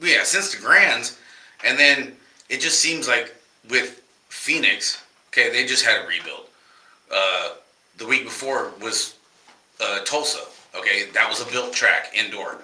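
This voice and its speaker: Man, livestream co-host, casual and profane, slightly nasal, bright voice, roomy echo. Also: mid-range voice, unhurried, distant mic. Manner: loose, profane, joking. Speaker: podcast co-host. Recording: roomy echo, off-mic at times.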